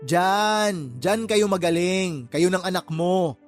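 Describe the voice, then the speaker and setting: An actor playing a fed-up younger brother and shop boss in a radio drama, scolding in an emphatic, confrontational way. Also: male voice, sits high, slow pace, soft background music. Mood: angry, fed up. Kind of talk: Tagalog radio drama acting.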